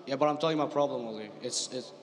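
A man's voice: medium pitch, fast, a little hiss or hum. A young man asking a question at a floor microphone, hesitant and persistent. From Iraq. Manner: hesitant, filler-heavy, persistent. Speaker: audience questioner. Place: floor of a large convention hall. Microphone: floor stand microphone. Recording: long hall reverb, faint ambience.

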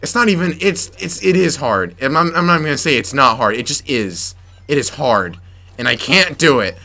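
A young man commentating his gameplay, frustrated and muttering to himself with sudden yelps, slightly raspy from yelling. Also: medium pitch, expressive, brisk. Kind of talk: let's play commentary. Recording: faint game music behind.

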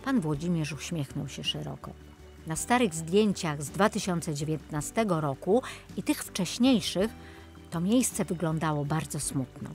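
A woman narrates a children's audiobook at a slow, measured pace. Soft music plays behind her voice as she tells the story. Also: low-pitched, expressive.

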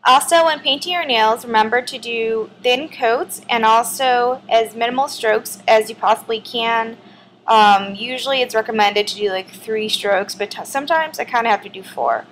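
A woman's voice: a little high, a little hiss or hum, poor audio. A woman in her twenties with a sore throat, chatting casually through a nail tutorial filmed in her bedroom. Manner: chatty, relaxed, filler-heavy. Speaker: beauty vlogger.

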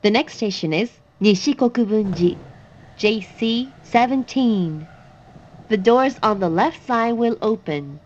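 Very slow, measured woman announcing trains. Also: mid-range voice, lively intonation, a little mellow, muffled recording. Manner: measured, formal, carefully articulated. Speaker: English-language train announcer. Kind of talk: scripted recorded announcement.

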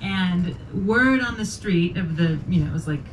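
A middle-aged woman from Illinois tells a personal story on a small club stage, chatty and halting, with restarts. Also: lowish voice, lively intonation. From Springfield, Illinois. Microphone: handheld mic, far camera. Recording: distant camera sound with room noise.

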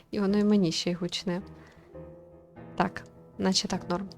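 A woman's voice: mid-range voice, a little slow, soft background music. A woman hosting a gaming video, chatting casually as she comments and reads along. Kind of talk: casual game commentary and read-aloud.

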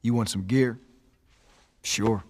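An actor playing a wary, principled middle-aged younger brother with a Southern American accent in a cinematic game, plain-spoken and firm. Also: male voice, fairly low pitch, steady tone, slow pace, darkish tone.

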